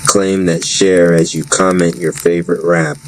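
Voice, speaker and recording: A man reading a scripted story and promo lines as a narrator, in a measured read-aloud delivery. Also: low voice, level tone. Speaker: narrator of a web radio story. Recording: clearly noisy, hissy background.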